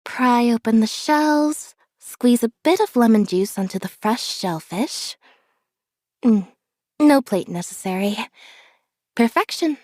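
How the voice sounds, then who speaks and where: A woman voicing a reserved, observant young magician's assistant in a video game, speaking in a calm, measured deadpan. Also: on the high side, no echo, studio quality. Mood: quietly pleased. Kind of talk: scripted character voice lines.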